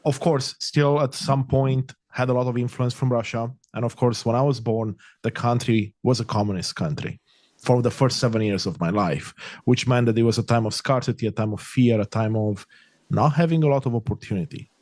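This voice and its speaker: A man's voice: mid-range voice, fairly quick, a little mellow, dry recording. A middle-aged man from Romania, a podcast guest talking earnestly and reflectively in long flowing sentences. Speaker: podcast guest, life coach. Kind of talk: conversational interview.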